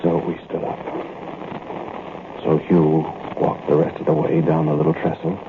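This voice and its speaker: A very deep-voiced, uneasy thief tells of a night break-in in the confiding tone of old radio drama. The sound is thin and boxed-in, with steady hiss underneath. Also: male voice, moderate pace. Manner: tense, confiding first-person storytelling. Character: nervous male thief narrating a night break-in. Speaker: narrator and lead character. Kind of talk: 1940s radio drama narration. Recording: tinny, noisy old radio recording.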